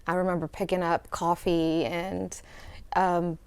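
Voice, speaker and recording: A woman's voice: fairly low pitch, flat delivery, unhurried, clean tone. A young woman from El Salvador, a student guest in a studio interview, speaking earnestly and reflectively with fillers and restarts.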